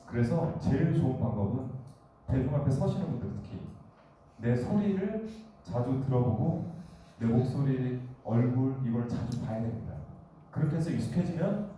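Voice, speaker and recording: A man's voice: medium pitch, animated, normal speed, dark tone, a little hiss or hum. A man giving a lecture to an audience, talking conversationally and often asking the room questions. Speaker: guest lecturer. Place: meeting hall. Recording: echoey hall from far off.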